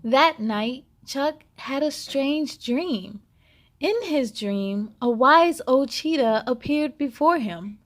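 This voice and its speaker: A woman hosting children's story time, reading aloud and talking to young listeners in a deliberate, clearly phrased way. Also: high voice, expressive. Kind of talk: animated children's read-aloud narration.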